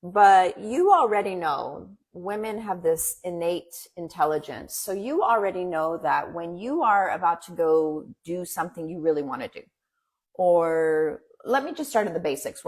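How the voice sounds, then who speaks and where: A woman whose first language is Farsi, a guest in a casual interview, talking earnestly and encouragingly in quick bursts. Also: lowish voice, a little mellow, breathy edge.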